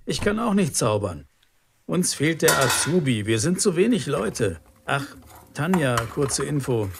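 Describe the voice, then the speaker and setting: A man's voice: a little high, sing-song, unhurried, vocal fry, noisy background, heavily acted. A man playing a stressed, exasperated restaurant cook in a scripted language-lesson dialogue. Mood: harried.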